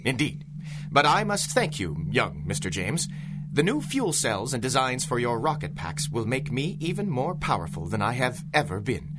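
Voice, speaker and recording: An actor playing a pompous, gloating criminal mastermind in a 1930s-style radio drama, mocking and grandiloquent. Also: male voice, mid-range voice, very animated, normal speed, heavy background noise, lightly acted.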